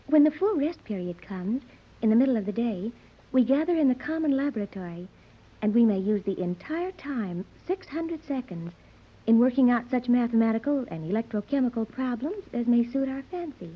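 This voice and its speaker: A woman's voice: on the high side, animated, brisk, husky, lo-fi sound. A woman playing an earnest, precocious fifteen-year-old girl who speaks in formal, bookish phrases, in 1930s radio serial style. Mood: earnest, matter-of-fact. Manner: formal, bookish, precise wording.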